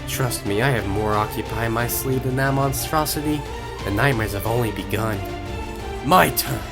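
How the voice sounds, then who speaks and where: A man's voice: mid-range voice, moderate pace, music in the background, lightly acted. Man voicing a menacing, sneering villain duelist. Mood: menacing, taunting. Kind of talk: fan audio drama acting.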